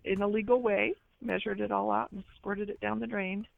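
A woman's voice: mid-range voice, little pitch movement, moderate pace, creaky, no room sound. Easygoing older woman on the phone. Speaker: caller, a host's mother. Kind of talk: casual phone chat. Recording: muffled phone line.

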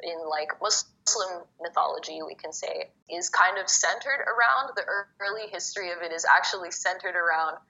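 A young woman from Egypt, a poet guest, talking casually and quickly with lots of "like" fillers. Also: lowish voice, gravelly, sharp tone. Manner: fast, chatty, many fillers like "like". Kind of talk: casual conversation. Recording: muffled phone-like call audio.

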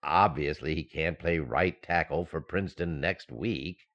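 An actor playing a naive, excitable expectant husband in an old-time radio sitcom, earnest and flustered. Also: male voice, extremely low pitch, a little slow. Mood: earnestly stubborn.

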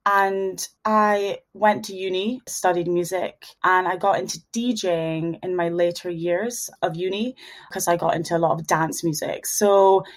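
Young adult female, Scottish accent, casual interview guest, chatty and gently self-deprecating. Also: fairly low pitch, fairly even intonation, unhurried. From Dundee, Scotland. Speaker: interview guest, DJ and singer-songwriter. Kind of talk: casual conversational interview answers.

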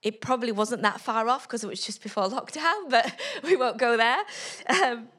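Middle-aged woman on stage w a handheld mic, kinda high voice, laughy storytelling talk to a crowd. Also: normal speed, fairly bright tone. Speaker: conference speaker. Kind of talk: inspirational talk to a live audience. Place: stage in front of an audience.

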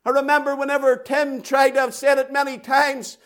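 A man's voice: extremely high pitch, completely flat delivery, a bit bright. A man preaching an impassioned evangelistic sermon, repeating phrases emphatically and drawing them out for dramatic weight. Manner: emphatic, repetitive, dramatic delivery with drawn-out phrases.

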